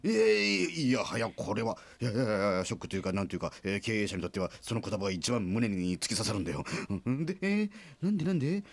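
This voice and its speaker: A man's voice: on the low side, big pitch swings, racing, vocal fry, few pauses, dry recording, full character voice. A man playing a rambling, jovial middle-aged small-factory president who loves his own puns, in a comic radio drama. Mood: flustered.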